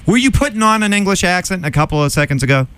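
A man's voice: high voice, lively intonation, fast, a bit bright, clear, resonant tone. A young man DJing on college radio, joking and a bit flustered as he banters live with a caller.

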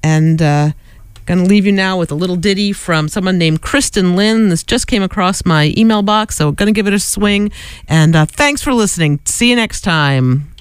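A man's voice: high-pitched, normal speed, clear, resonant tone, no echo. A man working as a radio DJ, casually back-announcing songs and tossing in joking asides. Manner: relaxed, joking asides between song credits.

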